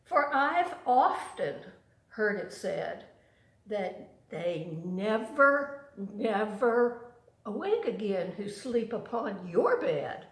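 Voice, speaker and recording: A woman's voice: mid-range voice, big pitch swings, very slow, reverberant, heavily acted. A woman voicing a small, polite female fly in a storybook poem, warily and dramatically refusing temptation. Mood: alarmed refusal. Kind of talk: storybook poem read aloud.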